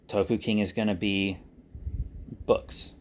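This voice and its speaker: Lower-voiced man, casual and rambling. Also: little pitch movement, takes it slowly, a little hiss or hum. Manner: informal, self-correcting, thinking aloud. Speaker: online video creator and writer. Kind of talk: casual rambling update to viewers.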